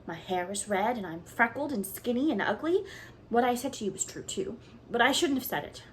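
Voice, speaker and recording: A woman's voice: mid-range voice, lively intonation, fairly quick, slight background noise, natural voice, rough recording. Woman voicing a dramatic, pleading orphan girl. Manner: breathless, pleading, theatrical.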